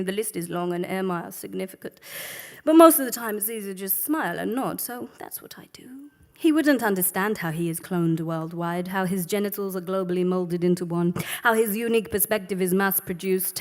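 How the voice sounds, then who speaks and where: A woman's voice: medium pitch, lightly acted. A woman putting on a wry Egyptian woman. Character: wry, worldly Egyptian woman, sharp-tongued and unimpressed. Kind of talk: dramatic reading of a monologue.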